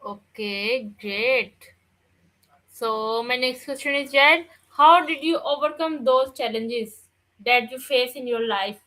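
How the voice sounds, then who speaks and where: A woman's voice: sits high, slow, pushed and bright, low-quality recording. A woman interviewer who asks formal, set questions one by one.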